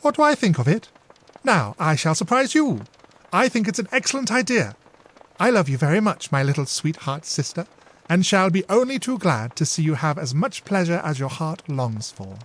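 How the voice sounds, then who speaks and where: A man voicing a dignified but warm-hearted young woman who teases her younger sister with lively exclamations. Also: fairly high pitch, moderate pace, pitched above the performer's own voice. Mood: teasing.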